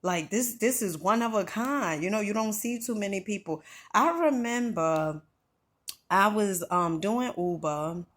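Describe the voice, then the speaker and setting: A woman's voice: mid-range voice, a little slow. A middle-aged woman from New Jersey giving an earnest motivational talk, conversational and full of "you know" and "right?".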